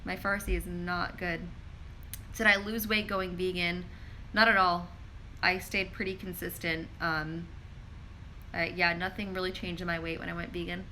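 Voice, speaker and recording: A woman's voice: fairly low pitch, level tone, normal speed, pushed and bright, slight background noise, poor audio. A woman pharmacist answering viewer questions in a casual live stream, talking chattily with lots of "like" and reading questions aloud, recorded on a handheld phone. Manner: chatty, frequent "like" fillers, reads questions aloud. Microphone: phone held in hand.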